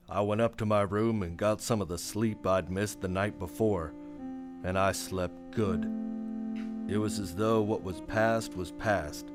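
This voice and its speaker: An actor playing a weary, resolute Old West marshal in a radio western. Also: male voice, low-pitched, close to an everyday voice. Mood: reflective, relieved. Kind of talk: radio western acting and first-person narration.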